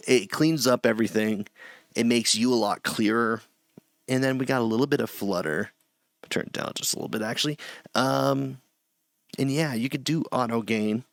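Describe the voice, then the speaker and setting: Gravelly low-voiced man, chatty tutorial. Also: dry recording, studio quality. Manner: loose and chatty, with restarts and asides. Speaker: streamer teaching audio setup. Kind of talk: casual screen-share tutorial. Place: small home room at a desk.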